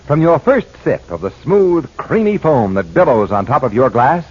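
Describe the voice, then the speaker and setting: Husky, lively 1940s radio announcer man. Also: normal speed. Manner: enthusiastic sales pitch. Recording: dull old radio broadcast.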